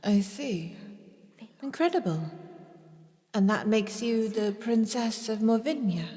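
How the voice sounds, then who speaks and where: A woman playing a haughty, scheming duchess devoted to a dark queen in an audio drama, with theatrical delivery. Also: medium pitch, expressive, takes it slowly. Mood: feigned concern. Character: scheming duchess and mother, fanatical servant of vampire queen. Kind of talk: scripted immersive audio drama. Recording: long reverberant hall sound.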